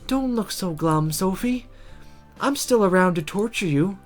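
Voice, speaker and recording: A woman voicing an injured young woman in a visual novel, tender and teasing, speaking softly and haltingly. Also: medium pitch, expressive, faint music behind, lightly acted.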